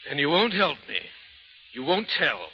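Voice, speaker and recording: A man's voice: on the high side, very animated, moderate pace, husky, heavily acted. An actor playing a stern, pressing male parole board official in a vintage radio drama. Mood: insistent. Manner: pressing, insistent interrogator. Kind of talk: 1940s radio acting. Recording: dull lo-fi old radio transcription.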